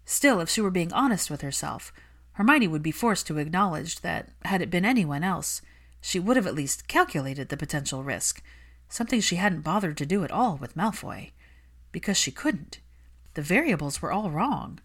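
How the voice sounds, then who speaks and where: A woman reading a story aloud as scripted narration, in an expressive manner. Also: fairly low pitch, normal speed, breathy edge. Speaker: fan fiction audiobook narrator.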